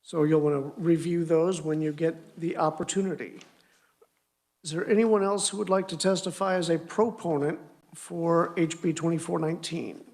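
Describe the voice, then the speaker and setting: Committee chair, male, high voice, brisk and casual while moving through procedure. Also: steady tone, a little mellow. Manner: brisk, informal procedural patter. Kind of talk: chairing a legislative hearing.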